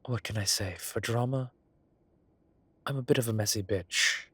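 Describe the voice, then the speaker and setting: A man playing a suave, gossip-loving gentleman boss in an intimate audio roleplay, arch and playfully dramatic, with breathy, drawling delivery. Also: on the low side, normal speed, no echo. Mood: theatrical, gossipy. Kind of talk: scripted intimate roleplay acting.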